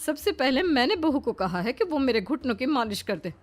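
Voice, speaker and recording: A woman's voice: sits high, very animated, a little fast. A woman voicing a bossy, possessive older mother-in-law in a cartoon, emphatic, coaxing and imperious. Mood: possessive, scolding.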